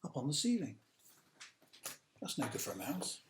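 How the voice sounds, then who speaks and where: Animated male storyteller in a roomy space. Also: medium pitch, a little hiss or hum. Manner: dramatic, drawn-out emphasis with hushed asides to young listeners. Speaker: author reading aloud own picture book. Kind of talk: animated children's storytime narration. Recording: roomy, echoey sound.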